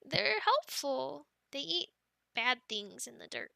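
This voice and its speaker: A young woman voicing an affectionate, teasing girlfriend in an ASMR roleplay, speaking softly, giggling and dropping into whispers, close to the mic. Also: high voice, sing-song, slow, creaky, no room sound, heavily acted. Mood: playful. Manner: soft and giggly, drifting into whispers.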